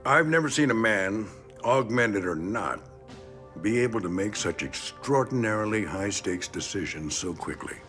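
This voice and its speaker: An actor playing a gritty, middle-aged decorated military trainer in an audio drama, gruff and plain-spoken with emphatic, measured praise. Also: male voice, on the low side, animated, a bit bright, soft background music. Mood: earnest, admiring. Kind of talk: audio drama interview acting.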